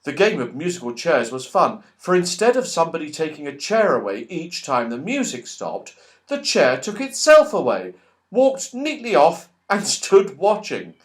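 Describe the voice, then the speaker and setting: Very animated man reading a children's storybook. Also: on the high side, moderate pace. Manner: animated, playful, exclaiming at events. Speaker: audiobook reader. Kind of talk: expressive children's storybook narration.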